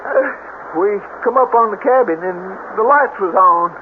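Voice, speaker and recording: A male actor playing a shaken, excitable young backwoods man with a Southern US accent in a radio drama. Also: high voice, unhurried. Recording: tinny, faintly hissy old radio broadcast.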